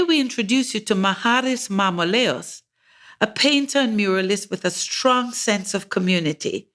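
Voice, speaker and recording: A woman's voice: medium pitch, fairly bright tone. Older woman hosting an arts podcast. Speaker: podcast host, curator. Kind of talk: scripted podcast intro and interview.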